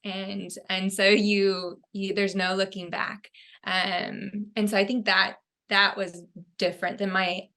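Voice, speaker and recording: A woman's voice: medium pitch, flat delivery, slow pace, a bit bright. A young woman from California, a guest in a casual interview, talking in a reflective, earnest way with frequent ums. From Fresno, California, US. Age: young adult.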